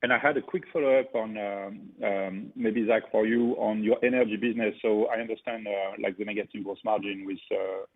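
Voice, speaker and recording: Husky male analyst on a phone line. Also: lowish voice, steady tone, brisk, few pauses. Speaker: financial analyst. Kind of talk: asking questions on an earnings call. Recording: muffled narrow phone line.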